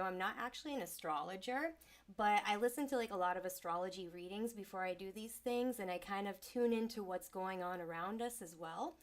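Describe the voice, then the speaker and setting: Female host, soothing and unhurried, mid-range voice, faintly stuffy from a cold. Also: mid-range voice. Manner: soft, soothing, unhurried delivery. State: slightly congested. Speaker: host and sound healer. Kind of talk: livestreamed spiritual talk. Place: small home studio.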